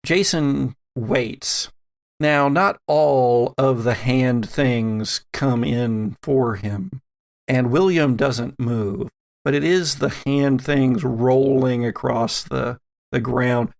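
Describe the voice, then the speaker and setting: A man runs a tabletop horror game in a creaky, mid-pitched voice. He narrates slowly and deliberately, leaving dramatic pauses between phrases. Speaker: game master.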